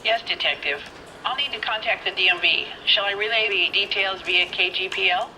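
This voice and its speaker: An actress playing a polite, efficient police telephone operator in a crime game, heard through a telephone. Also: female voice, mid-range voice, fairly quick, bright, forceful tone. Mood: polite, businesslike. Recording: noisy telephone line.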